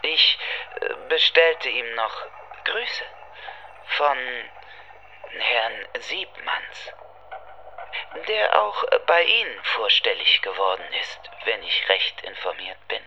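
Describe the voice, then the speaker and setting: An actor playing a formal, courteous Congolese state security official with veiled menace in a radio play. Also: male voice, mid-range voice, sing-song, slow, bright, forceful tone, highs cut off, slight background noise, heavily acted. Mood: politely regretful. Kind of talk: radio play dialogue and recollection.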